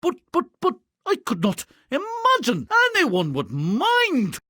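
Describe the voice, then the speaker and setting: High, swooping voice for a cowardly, self-pitying merchant dad in a fairy tale game, theatrical and fretful. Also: male voice, huge pitch swings, slow pace, bright, forceful tone, dry recording, clean studio sound, heavily acted. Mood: terrified, pleading. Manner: melodramatic, stammering in fear. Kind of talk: theatrical video game cartoon acting.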